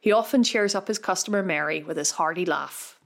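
A woman hosting a podcast, reading scripted narration in a measured way. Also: fairly low pitch, vocal fry. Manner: measured, read-aloud delivery. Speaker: podcast host. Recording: soft music underneath.

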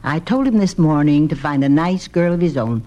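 A woman's voice: low-pitched, animated, normal speed, mellow, highs cut off. An actress playing a fond, fussing older wife and mother in an American old-time radio drama, chiding in a motherly way.

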